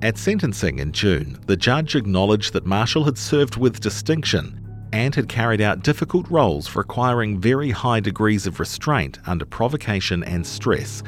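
A man reading a long-form news feature in a measured, articulate scripted narration style. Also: fairly low pitch.

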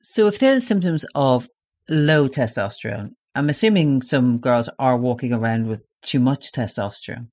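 A woman's voice: a bass voice, expressive, moderate pace, a little mellow. A woman co-hosting a podcast who asks curious, probing questions in a casual chat. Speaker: podcast co-host.

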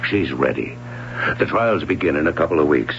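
A man acting in a 1970s American radio drama as a matter-of-fact, resigned swimming coach, measured and dry. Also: a bass voice, lively intonation, vocal fry, fairly bright tone, full character voice. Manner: measured, dry, plain-spoken. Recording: muffled radio recording with hum.

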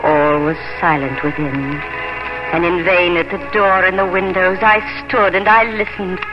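An actress playing a gentle, anxious young village bride in a poetic 1950s radio drama. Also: female voice, fairly low pitch, fairly even intonation, measured pace, natural voice. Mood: mournful. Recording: dull old radio recording, loud music.

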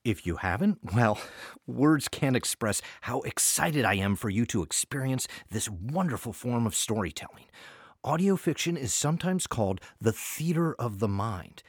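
A man's voice: medium pitch, big pitch swings, normal speed, breathy edge, no room sound, studio quality. A man hosting a podcast in a chatty scripted welcome, with wry, playful asides. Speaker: artistic director and podcast host.

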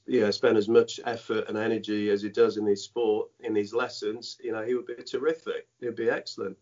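This is a fairly low-pitched man who grew up in Dominica and first spoke patois, and he tells his story in a halting way, circling back with lots of "you know." The sound is dull and lo-fi, as if recorded on a muffled line. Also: darkish tone. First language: Dominican French patois. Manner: halting, many "you know" fillers and restarts. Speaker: former cricketer, guest. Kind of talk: reflective interview storytelling.

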